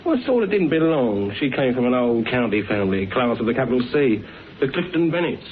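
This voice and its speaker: A man's voice: mid-range voice, very animated, fairly quick, close to an everyday voice. A man acting a chirpy young British detective's assistant in a radio drama, breezy, slangy and matter-of-fact. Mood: gossipy. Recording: thin narrowband radio recording, faint noise.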